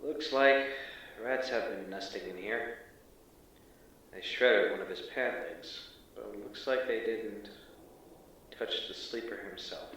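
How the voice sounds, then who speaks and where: An actor playing a wry, uneasy field agent who reports in a casual, halting, deadpan way in an audio drama. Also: male voice, on the low side, exaggerated voice. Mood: wary, observant. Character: wry, uneasy male field agent exploring an abandoned school. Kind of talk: audio drama acting, exploration log. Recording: echoey, distant, faintly noisy field audio.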